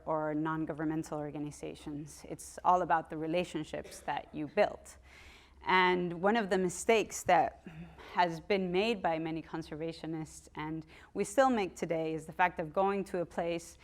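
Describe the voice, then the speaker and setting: A woman from Costa Rica giving a public lecture, talking in a conversational way with frequent ums and restarts. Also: lowish voice. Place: lecture room.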